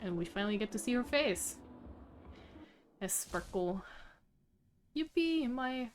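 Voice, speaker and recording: A woman's voice: a little high, animated, takes it slowly, a little hiss or hum. A woman streaming a game, giving casual, off-the-cuff reaction commentary. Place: livestream, game music behind.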